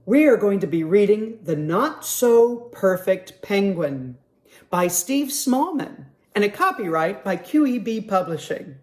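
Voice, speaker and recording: Slow, expressive children's storyteller man. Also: sits high. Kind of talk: children's story time reading.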